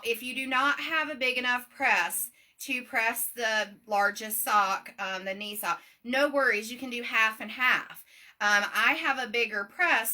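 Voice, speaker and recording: A middle-aged woman with a Southern US accent talks loudly and quickly as she hosts a live demo. Her voice sits a little high and sounds very bright and sharp. She keeps checking in with plenty of ums and okays. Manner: loud, fast and chatty, with many ums and okays. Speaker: shop owner hosting a live demo. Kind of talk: casual live product demonstration.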